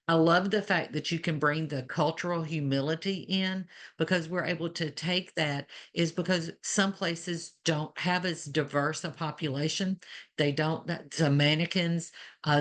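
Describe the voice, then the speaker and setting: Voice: mid-range voice, a bit bright. A speaker with a Southern Alabama accent talks as a simulation nurse educator on a webinar panel. The delivery is earnest and loosely structured, sprinkled with "you know" asides, and it sounds like a video call.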